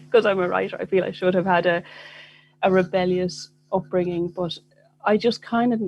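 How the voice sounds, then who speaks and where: A woman's voice: fairly low pitch, steady tone, moderate pace, muffled recording, a little hiss or hum. Chatty self-deprecating Dublin woman. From Ireland. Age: 40s. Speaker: guest writer. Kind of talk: casual conversational interview.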